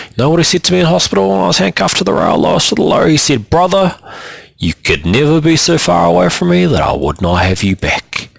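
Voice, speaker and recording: A man voicing a hulking Māori former gang member turned preacher, with a booming, theatrical manner. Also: vocal fry, fairly bright tone, no room sound, full character voice.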